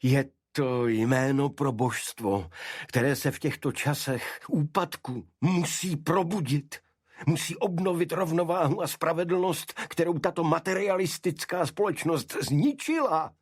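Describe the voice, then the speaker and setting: A man voicing a fanatical, vengeful English gentleman in an audiobook, with impassioned, indignant delivery. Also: on the high side, dry recording, clean studio sound, pitched above the performer's own voice, close to an everyday voice. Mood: zealous. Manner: impassioned, indignant, halting repetitions.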